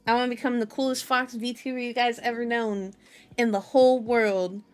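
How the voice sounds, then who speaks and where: Female VTuber host, highish voice, playful and childlike, casual stream chatter with light music underneath. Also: fairly even intonation, moderate pace. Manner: playful, childlike phrasing, repeats herself. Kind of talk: casual livestream chat. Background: background music.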